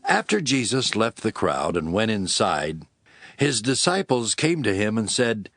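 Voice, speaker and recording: A man narrating scripture from a script in a measured storytelling manner. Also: on the low side, big pitch swings, a bit bright, dry recording, clean studio sound.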